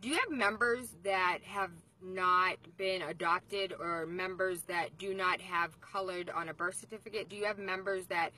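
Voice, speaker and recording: A woman in her thirties interviewing a guest in her car, blunt and expressive, talking to a phone held at arm's length. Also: fairly low pitch, normal speed, few pauses, rough recording. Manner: blunt, expressive, talks straight to camera. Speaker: vlogger and interviewer. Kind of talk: casual, animated interview vlog. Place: driver's seat of a car.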